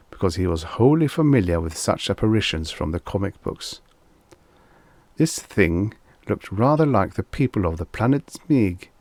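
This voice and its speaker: A man reading a scripted short story as an audiobook narrator, in a measured, expressive storytelling manner. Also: sits low, no echo.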